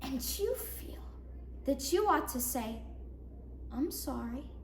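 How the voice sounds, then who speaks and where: A young woman performing a dramatic monologue as a bitter, wry psychiatric patient, with sardonic, theatrical emphasis that moves between hushed and loud. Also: high-pitched, big pitch swings, takes it slowly, full character voice. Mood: sarcastic, exasperated. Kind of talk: dramatic monologue, competition interpretation. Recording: roomy echo, faint noise, distant mic.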